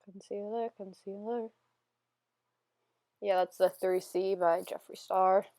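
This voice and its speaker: A young woman narrating a makeup tutorial in a casual, self-deprecating, rambling voiceover, her voice still a little hoarse from being sick. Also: medium pitch, fairly even intonation, slow pace, warm tone, dry recording. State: slightly hoarse, recovering from illness. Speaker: beauty vlogger.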